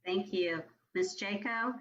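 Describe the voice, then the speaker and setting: A woman's voice: medium pitch, takes it slowly, fairly bright tone, muffled recording. A woman working as a staff member, calling a formal roll at a meeting.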